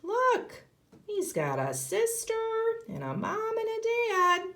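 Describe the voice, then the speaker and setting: Woman, kindergarten teacher, animated read-aloud, unhurried pace, child-directed questions, echoey room. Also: very high-pitched. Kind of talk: read-aloud of a picture book with asides to children. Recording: roomy, echoey sound.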